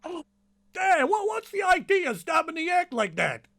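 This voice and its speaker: A man acting as an irritated, blustering nightclub owner in an old-time radio drama, pushy and exclamatory, with a gravelly, husky voice. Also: very high voice, very animated, unhurried, bright, forceful tone, exaggerated voice. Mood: indignant.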